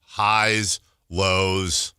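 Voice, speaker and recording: A man's voice: sits low, flat delivery, extremely slow, bright, forceful tone, clear, resonant tone, no echo, studio quality. A man hosting a morning sports radio show, nasal and joking, laughing often with his co-host. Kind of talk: casual morning radio banter. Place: radio studio. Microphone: studio microphone.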